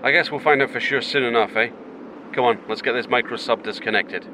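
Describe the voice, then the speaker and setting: A man playing a calm, friendly space mission captain with dry humour in a naturalistic audio drama. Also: mid-range voice, a little fast, noisy background, natural voice. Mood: brisk, dry.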